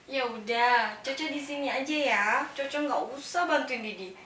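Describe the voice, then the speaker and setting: A woman's voice: fairly bright tone, slight background noise. A woman doing a sweet naive young bride. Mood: innocent, concerned. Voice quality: high, childlike voice. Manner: slow, cooing and innocent. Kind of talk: audio drama acting. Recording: roomy echo, distant mic, music behind.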